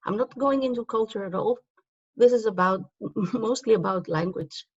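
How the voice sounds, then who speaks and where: A woman's voice: medium pitch, moderate pace, husky, dry recording. Greek-born woman, native Greek speaker, conference presenter; loose, um-filled delivery, dry and slightly muffled recording. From Greece. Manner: conversational, frequent ums and self-corrections. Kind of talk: conference talk with slides.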